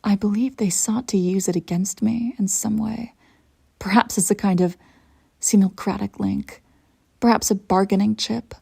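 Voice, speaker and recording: An ancient evil empress acted by a woman speaks in a dark, mellow voice with a gravelly creak. She is regal, cold and possessive, choosing formal words with arch care in an intimate roleplay monologue, her voice at times sinking toward a whisper. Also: mid-range voice, fairly even intonation. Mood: smug, composed. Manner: formal, measured, arch diction with breathy near-whispered drops. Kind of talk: scripted audio roleplay monologue, intimate direct address.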